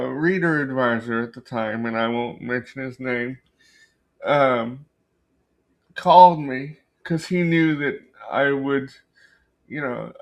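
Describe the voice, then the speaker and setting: A man hosting a book channel, telling a story casually and unscripted, haltingly searching for words and often rephrasing himself. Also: medium pitch. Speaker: book review channel host.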